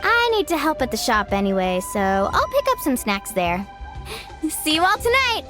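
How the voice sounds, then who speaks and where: Very high, bubbly young game heroine. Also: female voice, moderate pace. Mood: cheerful. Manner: bubbly, expressive. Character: upbeat, friendly young hot springs hostess girl. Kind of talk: video game voice acting. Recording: music behind the voice. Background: music.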